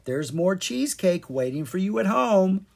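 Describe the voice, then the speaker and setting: A storyteller voicing an eager, cheerful young boy in a children's storybook, emphatic and excitable. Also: mid-range voice, normal speed, creaky, husky, pitched above the performer's own voice, heavily acted.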